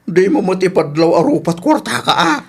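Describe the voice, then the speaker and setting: Cautious, nagging elderly Filipino husband and father, acted by a man, highly varied intonation, radio drama. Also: big pitch swings, moderate pace, exaggerated voice. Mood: concerned, reproving. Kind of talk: Ilocano radio drama acting.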